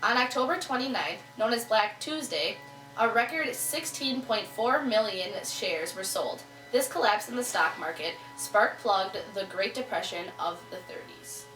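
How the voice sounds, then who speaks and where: Roomy, distant mic. Period news anchor played by a woman, mid-pitched, scripted reading. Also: animated, measured pace, fairly bright tone, faint music behind. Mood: matter-of-fact. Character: female 1920s studio news anchor. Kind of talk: scripted amateur mock newscast.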